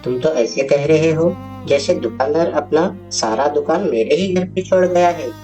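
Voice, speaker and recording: A woman playing a poor, weary village wife and mother, pleading and sharp-tongued, in a moral story. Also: deep voice, normal speed, lightly acted. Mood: exasperated. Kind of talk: acted dialogue in a Hindi moral story. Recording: music bed behind the voice.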